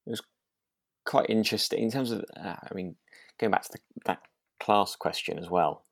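A man co-hosting a podcast in casual chat, agreeable and a little halting as he works out his thoughts. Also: fairly low pitch, creaky, no room sound, studio quality. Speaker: podcast co-host.

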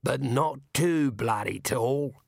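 A man's voice: medium pitch, takes it slowly, gravelly, darkish tone, dry recording, clean studio sound. Man voicing a blunt, breezy dwarf settler. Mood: breezy, proud. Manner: blunt, colloquial, clipped sentences.

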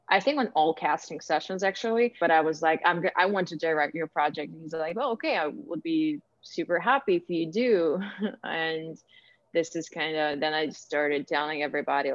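Casting: woman, Ukrainian accent, low register, conversational and hesitant interviewee, muffled lo-fi recording. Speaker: interviewee, actor and director. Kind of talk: casual interview answers. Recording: echoey room, dull lo-fi sound.

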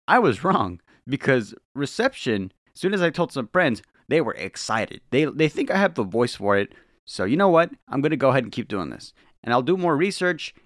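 A man's voice: mid-range voice, a bit bright, no room sound, studio quality. A man hosting a solo podcast, musing in an earnest, dramatic way as he speaks directly to listeners. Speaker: podcast host. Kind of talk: solo podcast monologue on the paranormal.

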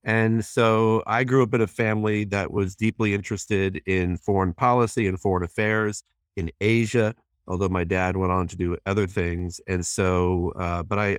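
A man in his sixties with a deep, low-pitched voice, speaking as an interview guest. He answers thoughtfully, often pausing with fillers and restarting his sentences. The recording is dry and close. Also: fairly even intonation, smooth, dry recording. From born in Hong Kong, raised in US. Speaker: guest, president of a human rights group.

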